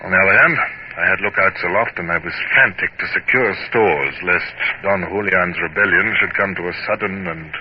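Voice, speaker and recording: An actor playing a proud, reserved naval captain in a 1950s radio drama, speaking formally and with measured control. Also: male voice, low voice, close to an everyday voice. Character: proud, self-controlled British naval captain, narrating his memoirs. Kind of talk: 1950s radio drama acting with first-person narration. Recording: dull, very noisy old radio recording.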